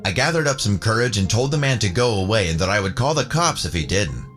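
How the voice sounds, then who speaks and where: A man's voice: fairly low pitch, very animated, fast, a bit bright. A man narrating a scripted first-person story. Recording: music bed under the voice. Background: background music.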